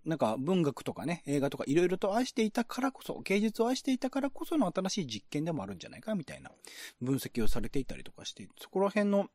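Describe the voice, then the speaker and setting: A man's voice: on the high side, husky, no echo. A man hosting a podcast, explaining art and literature in an enthusiastic, informal, conversational way. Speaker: podcast host. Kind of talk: casual discussion of literature and art.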